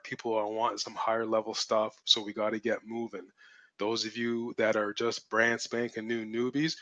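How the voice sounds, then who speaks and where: A man's voice: lowish voice, fairly bright tone, no echo, highs cut off. A man hosting a live webinar as a business mentor, talking bluntly and assertively, repeating points for emphasis, his voice strained and cracking. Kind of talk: live-streamed webinar teaching.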